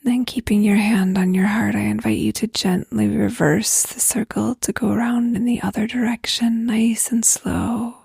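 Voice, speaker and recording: A woman guiding a prayer meditation in a soft, breathy near-whisper, with gentle, unhurried, spacious phrasing. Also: mid-range voice, fairly even intonation, dry recording, clean studio sound. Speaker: guided meditation leader, host. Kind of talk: guided prayer meditation, slow invitations.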